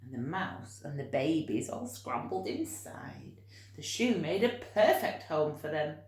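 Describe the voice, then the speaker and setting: A woman reading a story to young children in an expressive storytelling style, with chatty asides. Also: deep voice, unhurried, roomy sound.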